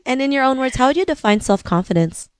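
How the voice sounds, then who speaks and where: A woman's voice: sits high, lively intonation, fast, dry recording, clean studio sound. A woman hosting a podcast interview, her voice worn because she has lost it, speaking casually. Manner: casual, with many "you know" fillers. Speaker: podcast host.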